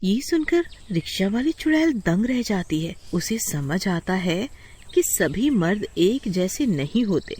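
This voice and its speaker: A man's voice: sits high, sing-song, unhurried, a little mellow, breathy edge. A man narrating a scripted horror story in a dramatic storytelling style.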